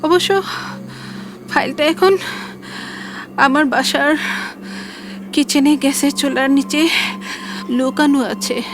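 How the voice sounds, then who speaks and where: A woman playing a weak, frightened hospital patient in an audio drama, speaking haltingly, word by word. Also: high voice, fairly even intonation, over music. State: weakened, labored.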